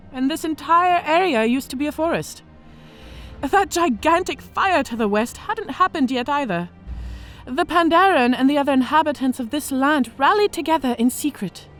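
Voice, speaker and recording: High pitched orc lady veteran, scarred n worldly, very animated storytelling, fantasy audiodrama, soft music under. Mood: matter-of-fact. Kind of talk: audio drama character acting.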